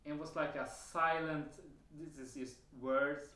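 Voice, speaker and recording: A man's voice: on the high side, fairly even intonation, a little slow. A man with a Swedish accent sharing a personal experience to camera, hesitant and full of fillers and restarts. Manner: hesitant, many fillers and restarts, occasional soft laughs. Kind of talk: casual personal testimony to camera. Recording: roomy echo.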